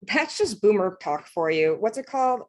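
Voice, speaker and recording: A woman's voice: medium pitch, very animated, normal speed, darkish tone, close to an everyday voice. A woman playing a blunt, mocking makeup artist in a comedy table read.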